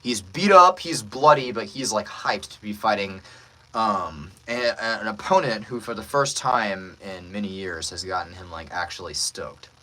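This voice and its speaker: A man's voice: on the low side, moderate pace, sharp tone, poor audio. A man who works as a voice actor, hosting a casual livestream and politely answering viewer comments with self-deprecating asides.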